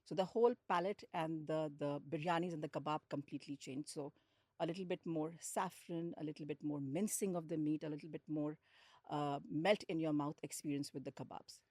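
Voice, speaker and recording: Woman, Indian accent, low pitch, conversational interview guest, dry recording. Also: steady tone, fairly quick, a little mellow, dry recording. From India, grew up in Lucknow and Hyderabad. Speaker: podcast guest, hospitality executive.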